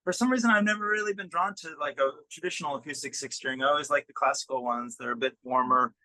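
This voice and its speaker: A man with a fairly high, notably bright voice, recorded dry and close to room-free. He talks casually as a musician being interviewed, pausing and working out his thoughts as he explains. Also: no echo, rough recording. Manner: halting, thinking aloud while explaining.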